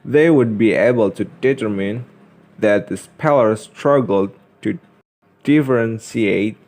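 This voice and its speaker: Slow, halting young man reading a lesson. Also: on the low side, darkish tone. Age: young adult. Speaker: student presenter. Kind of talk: scripted lesson narration.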